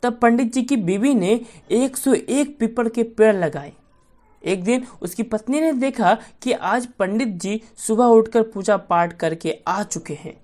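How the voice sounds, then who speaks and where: A woman's voice: a little high, measured pace, darkish tone. A woman storyteller narrating a bedtime folk tale for children.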